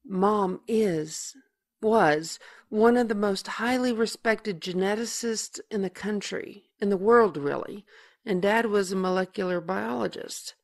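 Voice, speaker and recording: An actress playing a caring, grave and patient older sister in an audio drama. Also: female voice, medium pitch, measured pace, lightly acted. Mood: tender, grave.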